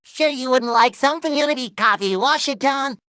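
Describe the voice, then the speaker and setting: A chirpy little cartoon salesman, pitched high and very dry. He pushes his product with perky insistence and a cheerful streak of menace, like a character in an old TV ad skit. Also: fairly quick, pushed and bright, no echo. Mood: perky, pushy.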